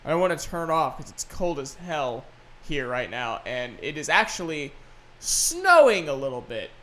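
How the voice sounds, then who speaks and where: A man hosting a solo podcast, chatting casually and unscripted in a rambling, halting way. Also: on the high side, big pitch swings, pushed and bright. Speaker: podcast host and gaming video creator.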